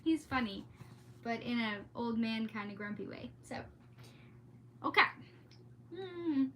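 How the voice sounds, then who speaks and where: Woman, high voice, informal rambling vlog chat, exclamatory, easily sidetracked, faint background noise. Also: animated, slow. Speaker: streamer hosting a read-aloud.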